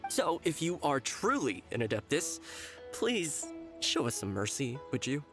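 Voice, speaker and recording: Brief: pragmatic game outlaw, medium pitch, guarded, persuasive, politely threatening. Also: male voice, big pitch swings, measured pace. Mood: wary, placating. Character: wary male treasure hoarder, pragmatic, politely menacing. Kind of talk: video game voice acting. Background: game music.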